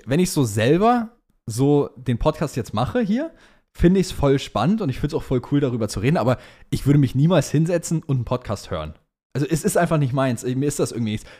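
A young man co-hosts a casual podcast. He talks in loose youth slang and states his opinions emphatically. His voice sits at an ordinary, middle pitch for a man. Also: a little mellow. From German, partly raised in Dubai. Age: young adult. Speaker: podcast co-host.

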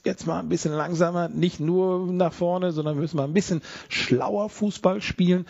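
A male podcast host asks long, flowing questions in relaxed interview talk. His voice is a touch high. The sound is dull and muffled.

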